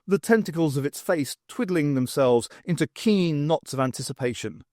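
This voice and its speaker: A man reading a novel aloud as an expressive audiobook narrator. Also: mid-range voice, no room sound, clean studio sound.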